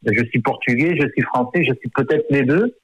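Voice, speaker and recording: A man's voice: medium pitch, fairly quick, slightly rough, no echo, dull audio. A man in his fifties, an author born in France, talking in a radio interview and hesitating often, with many fillers and repeated words. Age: 50s.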